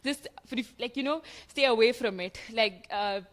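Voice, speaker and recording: Teenage Indian girl guest, slightly high voice, polite and hesitant answers with light laughter. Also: moderate pace. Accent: Indian English. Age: teens. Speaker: interview guest, top student. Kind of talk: casual interview answers.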